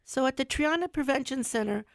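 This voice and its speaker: A woman's voice: high-pitched, lively intonation, brisk, creaky, no room sound, clean studio sound. A middle-aged woman, a family physician hosting a radio health show, talking casually while stuffy from a cold and repeating words for emphasis. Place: radio studio.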